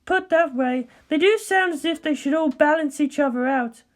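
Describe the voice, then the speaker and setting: A woman voicing a composed, analytical female scientist in a story, measured, formal and sceptical. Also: high-pitched, voice pushed up, lightly acted.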